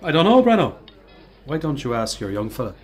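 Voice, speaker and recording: An actor playing a self-assured, dry-witted factory worker with a Dublin accent in a radio play. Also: male voice, medium pitch, big pitch swings, brisk, faint music behind, close to an everyday voice. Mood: taunting. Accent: Irish, Dublin. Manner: wry, teasing, confident.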